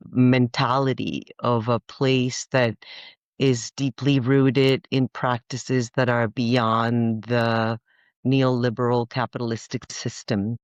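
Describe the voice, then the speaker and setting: A woman's voice: a bass voice, smooth, dry recording, highs cut off. A woman, speaking as an architect guest in a thoughtful, earnest way. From Costa Rica. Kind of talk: reflective interview talk.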